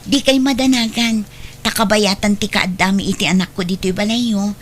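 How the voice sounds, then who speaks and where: A woman playing a deferential young mother with a soft-spoken, accommodating manner and an Ilocano accent, in an audio drama. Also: mid-range voice, steady tone, normal speed, a little hiss or hum, natural voice. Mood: meek. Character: grateful, deferential young mother and live-in girlfriend.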